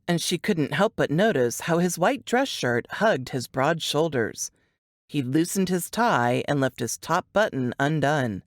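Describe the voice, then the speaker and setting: Low-pitched woman narrating fiction, lively intonation. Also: dry recording, studio quality. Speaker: audiobook narrator.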